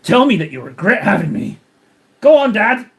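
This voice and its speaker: A man's voice: high-pitched, big pitch swings, normal speed, husky. A man live-streaming while he paints, rambling and joking as he banters with his chat.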